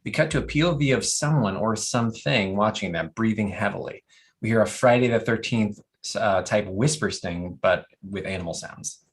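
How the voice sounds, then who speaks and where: A man's voice: lowish voice, normal speed. A man narrating stage directions at a comedy table read, matter-of-fact and descriptive.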